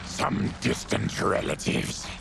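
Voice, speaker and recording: A man voicing a gruff but noble monstrous warrior, solemn, terse and gravelly, in a video game cutscene. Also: takes it slowly, background noise, close to an everyday voice. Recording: music behind.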